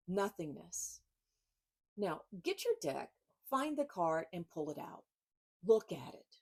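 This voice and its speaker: Lower-pitched woman reading and presenting expressively. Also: a little mellow. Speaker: author reading from own book. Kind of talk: informal talk and expressive book reading to camera.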